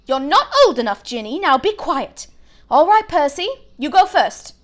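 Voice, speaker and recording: A woman acts out a plump storybook mother with a high voice. She is brisk and kindly, with a motherly way of giving instructions. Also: very animated.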